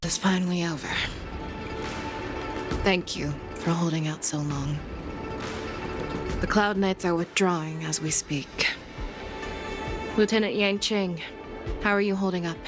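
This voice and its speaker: A woman playing a composed, commanding general who is kindly toward juniors, measured and gracious, in a video game cutscene. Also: on the low side, fairly even intonation, natural voice. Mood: calm, reassuring. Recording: music over the voice.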